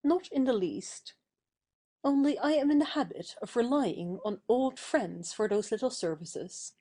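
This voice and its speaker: Actress playing proud young woman, fairly high mellow voice, animated, theatrical, impulsive, period stage comedy. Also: measured pace, breathy edge, heavily acted. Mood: haughty, stern. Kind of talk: stage-play audio drama acting.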